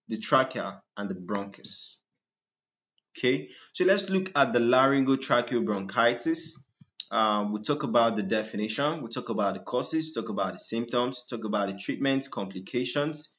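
A man teaching a slide-based medical lecture in a deep, just-woken voice. He keeps asking rhetorical "what?" questions and answers them himself. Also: fairly bright tone. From Nigeria. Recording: dull lo-fi screen recording.

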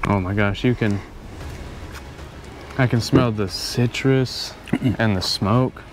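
A man's voice: on the low side, slow, noisy background. Easygoing man co-hosting a cooking demo. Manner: easygoing, agreeable, upbeat. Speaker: cooking show co-host. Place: outdoor campsite. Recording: music playing behind.

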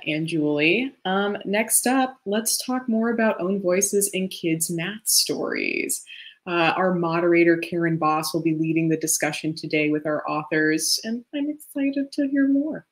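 A woman with a somewhat low voice hosts a live stream over a laptop mic. She moves things along in an upbeat, brisk way, thanking the previous speakers and bringing on the next group. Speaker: event host running the stream. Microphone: laptop webcam mic on a video call.

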